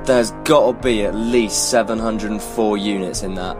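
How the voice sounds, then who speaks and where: A man's voice: on the low side, steady tone, normal speed, over music, natural voice. A man playing a matter-of-fact, casual adventurer with an offhand manner in a comedic fantasy radio play. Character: matter-of-fact, casual male companion on a quest.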